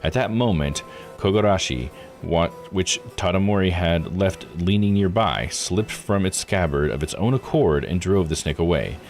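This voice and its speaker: Low-voiced, animated male game streamer. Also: normal speed, soft background music. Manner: stumbles over foreign names and self-corrects. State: tired. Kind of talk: live playthrough, reading game text aloud with casual asides.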